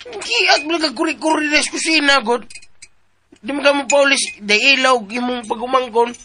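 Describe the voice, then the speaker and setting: A man's voice: very high voice, moderate pace, noisy background. A man with a Filipino accent playing a clownish, teasing, boisterous uncle in a radio drama. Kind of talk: Philippine radio drama acting.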